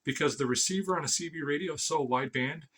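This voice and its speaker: A man's voice: on the high side, quick pace, fairly bright tone, slight background noise. A man giving a rambling, informal explainer as an amateur vlogger, blunt and sweary, often stammering over repeated words.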